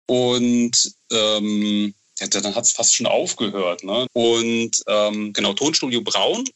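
A man talking casually as an interview guest, telling stories haltingly, searching for words and adding frequent tag questions. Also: lowish voice, fairly bright tone. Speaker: novelist and TV writer, interview guest. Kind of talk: casual interview chat, storytelling.

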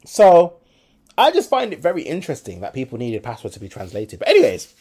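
A Brummie man talking on his podcast in a lively, opinionated way. His pitch leaps around a lot, and he often drops to a mutter before rising loud again. He is blunt and swears freely. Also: a little fast, slightly rough. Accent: Birmingham English. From Birmingham, England. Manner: animated, blunt, swears freely, swings between loud and quiet. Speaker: podcast host. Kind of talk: casual opinionated chat.

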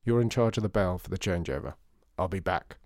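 A man voicing a brisk, confident young man who runs the shop and gives orders. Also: sits low, a little mellow, no echo, clean studio sound.

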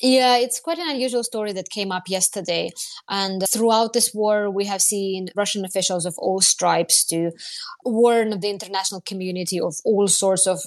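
A woman journalist giving explanatory commentary on current affairs in a news podcast discussion. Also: mid-range voice.

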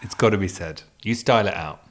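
A man's voice: low-pitched, quick pace, vocal fry. A man co-hosting a podcast in casual chat, teasing with a dry sense of humour. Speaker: podcast co-host.

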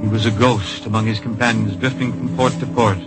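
A low, grave male narrator played by an actor tells a tragic tale in old-time radio style. His delivery is lyrical and poetic, over a prominent musical score. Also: steady tone, a little fast, lightly acted, low-quality recording. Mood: wistful. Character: solemn, poetic male narrator of a patriotic tragedy. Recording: vintage radio recording with music behind.

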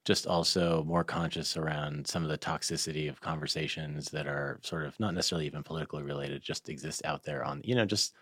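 Man, very low voice, dry close sound, podcast host, casual, halting, hedging. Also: fairly even intonation, a bit bright, breathy edge, dry recording. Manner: halting, hedging, circles around his point. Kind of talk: casual conversational interview.

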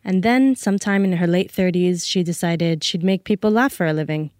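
A woman hosting a podcast, reading a scripted introduction in a measured way into a close studio mic. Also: fairly low pitch, a little mellow, no echo. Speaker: podcast host.

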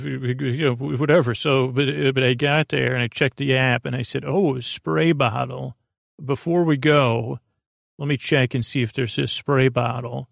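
A man with a creaky voice hosting a sleep podcast, telling meandering stories in a halting, digressive, self-deprecating way. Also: mid-range voice, dry recording, studio quality. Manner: halting, digressive, full of false starts and trailing tangents; self-deprecating, gently absurd musing. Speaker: podcast host.

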